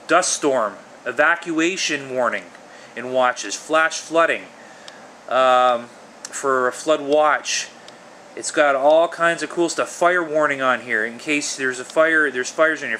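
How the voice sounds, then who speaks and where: A man's voice: fairly high pitch, sharp tone, slight background noise. A man casually showing off a product hands-on, talking informally and with enthusiasm. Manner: informal, enthusiastic, mild swearing. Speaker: gadget reviewer.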